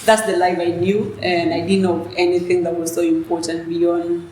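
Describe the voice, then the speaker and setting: Soft-spoken young woman, echoey room. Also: fairly low pitch, level tone, normal speed. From South Sudan. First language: Dinka. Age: young adult. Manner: soft-spoken, hesitant with many restarts. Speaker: student leader guest. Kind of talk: interview answers. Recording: echoey room with faint noise.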